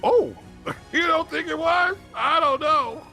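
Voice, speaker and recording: A man's voice: very high voice, slow, gravelly, pushed and bright, faint music behind. A man co-hosting a show in casual banter, emphatic and teasing, often repeating himself. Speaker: sports show co-host.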